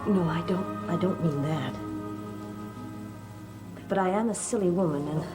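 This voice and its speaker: Female actor as nervous gothic-soap wife, lowish voice, hesitant dramatic phrasing, self-reproachful, vintage TV sound, prominent music. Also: unhurried, lightly acted. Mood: anxious, self-reproachful. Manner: hesitant, broken, theatrical phrasing. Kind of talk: 1960s gothic soap opera acting. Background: dramatic background music.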